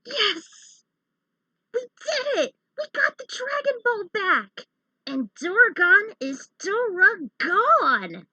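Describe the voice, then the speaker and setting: A woman voicing excitable young heroes from an adventure fan story, exclamatory and dramatic. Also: extremely high pitch, very slow, no room sound, voice pushed up, heavily acted, low-quality recording. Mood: triumphant. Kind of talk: amateur character acting in storytelling.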